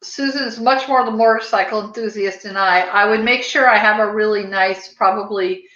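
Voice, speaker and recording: A woman's voice: medium pitch, normal speed, a bit bright. An American woman hosting a podcast in casual, chatty, rambling conversation. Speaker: podcast host. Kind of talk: casual chat. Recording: roomy echo, dull lo-fi sound.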